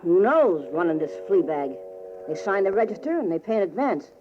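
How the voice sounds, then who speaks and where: A woman's voice: medium pitch, sing-song, brisk, close to an everyday voice. An actress playing a world-weary, slangy, sardonic flophouse landlady in a 1940s radio drama. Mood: brash, weary. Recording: noisy, muffled 1940s radio broadcast.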